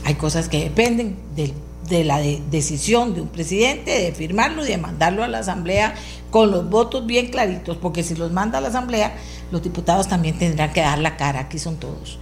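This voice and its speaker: A woman's voice: fairly low pitch, moderate pace, soft background music. A woman with a Costa Rican accent and a powerful voice, hosting a talk show with insistent, emphatic political commentary that repeats phrases for stress. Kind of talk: opinionated political commentary addressed to listeners.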